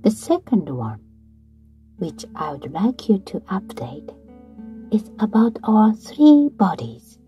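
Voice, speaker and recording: A woman leads a guided breathing meditation at an unhurried pace, her voice very breathy and often sinking into a whisper. Her tone is mellow and her pitch rises and falls freely. Faint guitar music plays underneath. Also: very animated. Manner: slow, soft, breathy delivery with whispered phrases. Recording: soft guitar music behind.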